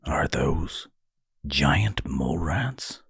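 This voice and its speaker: A devout, solemn elf from a fantasy tale, performed by a male narrator. He speaks low and hushed with a very breathy husk, grave and distant, as if in prayer. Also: lively intonation, extremely slow, no echo, studio quality, exaggerated voice. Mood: hushed concern. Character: smaller elven halfkin brother, solemn, reverent, devout mystic.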